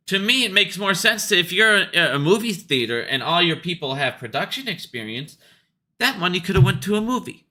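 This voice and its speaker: A man's voice: fairly high pitch, sharp tone. A man giving a casual, opinionated business monologue like an investor commentator, blunt, emphatic and profane, repeating his points to drive them home.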